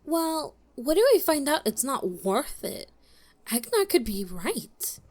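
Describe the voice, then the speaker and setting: A feisty halfling spellcaster from a fantasy audio drama, acted by a woman. The voice is very high and swings about expressively, with a sassy, incredulous, outspoken edge. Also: very animated, takes it slowly, darkish tone, full character voice. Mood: persuading.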